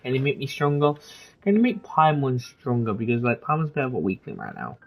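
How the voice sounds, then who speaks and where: A woman's voice: rumbling low, big pitch swings, fairly quick, vocal fry, slightly rough, muffled recording. A woman streaming a game with casual, rambling and playfully teasing commentary.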